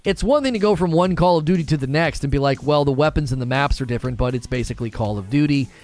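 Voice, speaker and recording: A man in his forties hosting a casual gaming livestream, musing aloud in a relaxed, conversational way as he answers chat. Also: fairly high pitch, fast. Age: 40s. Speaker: gaming channel host reading live chat.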